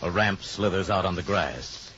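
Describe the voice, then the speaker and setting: An actor as the grave, suspenseful male narrator of a 1950s radio drama, speaking in a measured, dramatic way. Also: low voice, noisy background, close to an everyday voice. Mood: hushed, suspenseful. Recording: dull old radio recording, loud music.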